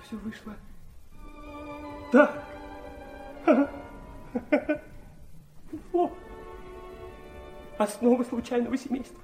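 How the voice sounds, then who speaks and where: A proud, wounded youth in an audio drama. The voice is strikingly high and boyish, declaiming with bitterness and passion. Soft music sits underneath. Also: male voice, exaggerated voice. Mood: wounded, passionate. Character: resentful illegitimate teenage son, proud, wounded, high-strung. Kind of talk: radio drama acting.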